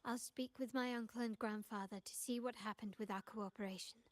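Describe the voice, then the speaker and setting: A woman's voice: fairly high pitch, steady tone, fairly quick, close to an everyday voice. A woman voice actor playing a poised young heiress in a video game, speaking politely, formally and with self-assurance. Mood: courteous, composed. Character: poised, refined young heiress of a merchant family.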